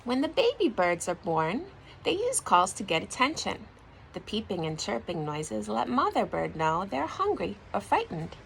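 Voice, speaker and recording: A woman reading a children's storybook aloud like a teacher, in an expressive, deliberate storytelling manner. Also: mid-range voice, vocal fry, slight background noise, poor audio.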